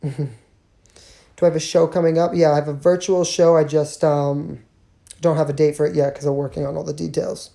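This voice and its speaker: Singer on a casual fan stream: raspy, gravelly and tired, warm low tone, filler-heavy chat. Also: female voice, level tone, normal speed. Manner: chatty, many fillers and laughs. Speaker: singer live-streaming to fans. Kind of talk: casual live-stream chat answering viewer questions. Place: bedroom.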